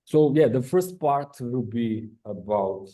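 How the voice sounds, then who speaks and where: A man's voice: medium pitch, animated, slow, dark tone. A middle-aged man from Croatia, giving an academic seminar talk with many "uh" fillers. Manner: non-native phrasing, frequent "uh" fillers. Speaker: invited speaker, researcher. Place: lecture room.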